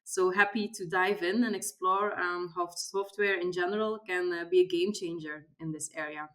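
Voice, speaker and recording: Belgian woman, slightly low voice. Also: steady tone, moderate pace, fairly bright tone. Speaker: podcast guest, software industry expert. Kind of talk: conversational interview, explanatory.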